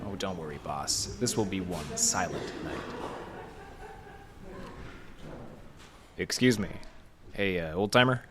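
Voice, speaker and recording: An actor playing a wry, slangy hard-boiled detective with a soft heart, in noir radio drama style. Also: male voice, low-pitched, expressive, normal speed, soft background music. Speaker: lead actor and narrator.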